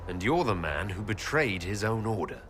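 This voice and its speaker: An actor playing a heroic elf leader in a video game, putting pointed questions in cutscene dialogue. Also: male voice, fairly low pitch, lively intonation, moderate pace, a bit bright, slight background noise. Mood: challenging. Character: calm, challenging heroic young male elf leader.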